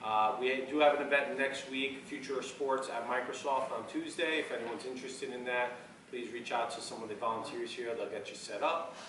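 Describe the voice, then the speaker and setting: A man's voice: mid-range voice, fairly even intonation, fairly quick, few pauses. A man hosting a casual onstage interview, asking loose, rambling questions with many restarts. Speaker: event host, interviewer. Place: event venue, echoey room. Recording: echoey room, far mic, background noise.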